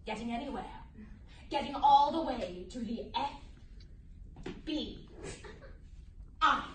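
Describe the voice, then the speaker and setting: A roomy recording of a woman playing a high-pitched puppet snail acting as a cultured cannibal doctor. The delivery is slow, theatrical and condescending, taunting with every drawn-out phrase, and sits a little far from the mic. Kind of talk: amateur dramatic reading with puppets. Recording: roomy, distant mic, faint noise.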